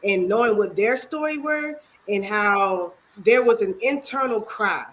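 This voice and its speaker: A congested woman from Maryland, speaking as a guest author and youth advocate in an interview, earnest and halting with many pauses. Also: medium pitch, animated, slight background noise, rough recording. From Maryland, USA. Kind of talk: interview talk.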